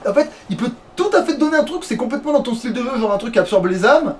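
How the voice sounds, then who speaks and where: A man with a notably high-pitched voice chats casually as he plays a game. He rambles and grumbles in crude, sweary slang, recorded at home over clearly audible background noise. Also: expressive, rapid-fire, distant mic. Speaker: let's play streamer. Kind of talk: casual gameplay commentary chat.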